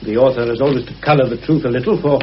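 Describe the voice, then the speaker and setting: An actor in an old radio drama playing a dry, frank village writer, urbane, blunt and sardonic. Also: male voice, medium pitch, fairly even intonation, rapid-fire, close to an everyday voice. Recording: muffled, faintly noisy old radio recording.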